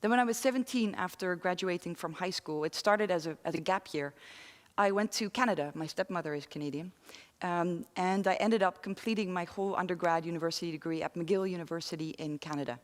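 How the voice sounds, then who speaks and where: A woman in her forties from London and the Netherlands giving a talk to an online audience, pausing often as she speaks. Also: lowish voice. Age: 40s. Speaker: guest speaker. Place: studio with very few people present. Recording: reverberant studio hall.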